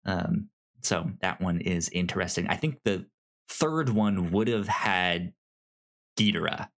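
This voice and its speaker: A man hosting a review podcast in a casual, halting way, often stammering over the starts of his words. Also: sits low. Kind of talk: casual podcast chat, giving recommendations.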